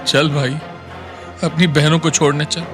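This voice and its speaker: A man voicing a rough, confrontational street goon in a Hindi audio story. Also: fairly high pitch, level tone, unhurried, over music, lightly acted. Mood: shaken. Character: aggressive street goon, blustering then cowed.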